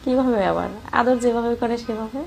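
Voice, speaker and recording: A flirtatious, lonely married Bangladeshi sister-in-law played by a woman in an amateur romantic audio drama. Her voice sits a bit high and she talks very quickly. There is some noise on the recording. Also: a little mellow. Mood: coy, teasing. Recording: clear background noise.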